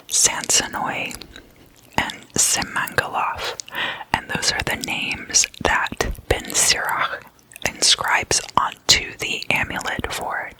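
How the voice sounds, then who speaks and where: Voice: bright, forceful tone, low-quality recording. An ASMR host telling stories in a whisper, in a casual, gossipy, conversational manner.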